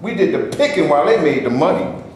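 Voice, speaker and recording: A man's voice: on the high side, lively intonation, fast, natural voice. An actor in a staged reading, playing an impatient, fed-up man who speaks in an impassioned, indignant way. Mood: heated. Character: fed-up, impatient man demanding his share. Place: theater before a live audience. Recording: echoey room, faint background noise.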